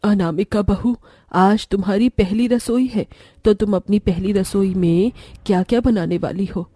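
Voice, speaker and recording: Here is a woman playing a wealthy mother-in-law from a cartoon family story. She speaks in a mellow, slightly lowered voice, giving orders with plain authority. The sound is dry. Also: a little slow, no room sound, lightly acted. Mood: commanding. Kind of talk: Hindi cartoon story voice acting.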